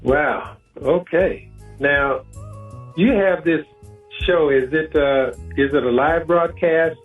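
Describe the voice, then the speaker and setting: A man's voice: on the high side, slow pace, soft background music. A man hosting a radio talk show, easygoing and agreeable with his guest. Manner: easygoing, agreeable, short affirmations. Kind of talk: radio interview. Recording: tinny phone line.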